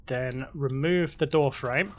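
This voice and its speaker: A man in a middle pitch talks through his gameplay in a relaxed, offhand way, half to himself. His voice is a little croaky. Keyboard clicks and typing sound behind him. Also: takes it slowly, a little hiss or hum. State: slightly croaky voice. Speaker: gaming video host. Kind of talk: casual gameplay commentary.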